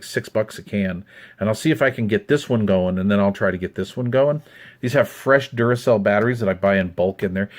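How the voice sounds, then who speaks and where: A man in his fifties with a Western American accent, chatting in a rambling, conversational way as he shows off a hobby find. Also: fairly low pitch. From United States, Utah. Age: 50s. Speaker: hobbyist video host. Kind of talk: casual hands-on show-and-tell. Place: indoors at a wooden desk.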